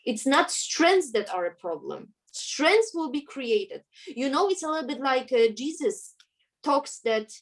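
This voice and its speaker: A woman's voice: high voice, big pitch swings, measured pace, rough recording. A woman with a Polish accent, a native Polish speaker, talking earnestly as an interview guest and often searching for her words. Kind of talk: conversational interview.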